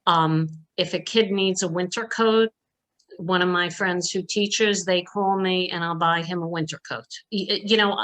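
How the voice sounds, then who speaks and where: A woman's voice: on the low side, fairly even intonation, normal speed, fairly bright tone. An older woman talking informally at a virtual meeting in a conversational, plainspoken and emphatic way. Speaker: donor asking questions at an online meeting.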